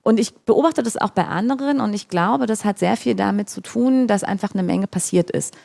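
A woman's voice: mid-range voice, moderate pace, gravelly, a little mellow. A woman in her forties from Brandenburg, talking through regional trends in a discursive way with fillers and restarts, checking in with "ja?". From Brandenburg, Germany. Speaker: expert guest. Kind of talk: conversational talk about regional development. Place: large room in a rural venue.

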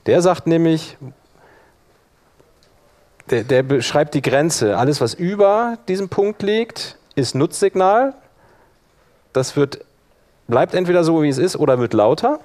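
Man explaining audio software live. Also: fairly high pitch, big pitch swings, unhurried, long pauses. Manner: thinks aloud while operating the software. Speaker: workshop instructor.

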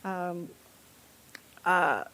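A woman's voice: fairly low pitch, expressive, drawn-out words, a bit bright. A woman giving a conference talk in a wry, humorous way, laughing often.

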